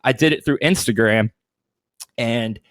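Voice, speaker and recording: A man's voice: mid-range voice, measured pace, a bit bright, no room sound, studio quality. A man in his thirties chatting casually as a podcast co-host, informal and swearing freely with lots of dude slang. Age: 30s. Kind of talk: casual chat.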